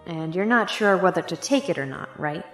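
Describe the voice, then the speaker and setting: A woman playing a concerned, curious girl student in an audio drama, probing and expressive. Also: fairly low pitch, brisk, close to an everyday voice. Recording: echoey room with faint music.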